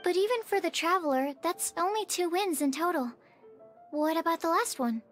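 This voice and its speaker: A woman voicing a gentle, studious, polite young woman in a video game, with music behind her. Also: very high-pitched, expressive, moderate pace. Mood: earnest. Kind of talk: animated game voice acting. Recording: background music.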